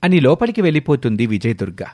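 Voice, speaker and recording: A man's voice: mid-range voice, big pitch swings, fast, warm tone. A man narrating a scripted moral story as its storyteller.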